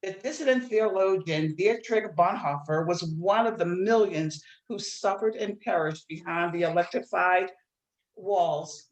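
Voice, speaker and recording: A woman at a literary reading, speaking and reading aloud from nonfiction in a measured, earnest manner. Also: on the low side. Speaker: reader at a literary read-in. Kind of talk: introducing and reading aloud a nonfiction book excerpt. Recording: roomy, echoing sound.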